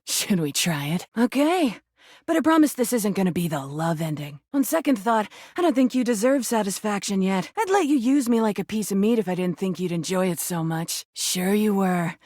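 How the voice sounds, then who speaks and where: A woman's voice: mid-range voice, darkish tone, breathy edge, no room sound, clean studio sound. A woman voicing a crude, flirty, mocking rubber duck come to life in a video game. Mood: teasing, suggestive. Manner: sassy, mocking, combative, suggestive. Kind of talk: video game character dialogue.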